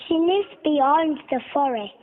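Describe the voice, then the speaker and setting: A sweet, eager little girl from a children's cartoon tale, acted by a woman. Her voice is high and childlike, and she speaks slowly with trusting cheer. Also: phone-quality sound. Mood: cheerful, trusting. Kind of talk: children's storybook cartoon acting.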